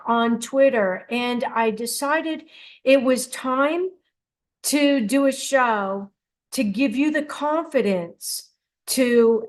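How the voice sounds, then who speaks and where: An older woman hosting a radio show alone, talking in a chatty, encouraging way with frequent restarts. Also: on the high side. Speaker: host of a dream radio show. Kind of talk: casual solo radio talk.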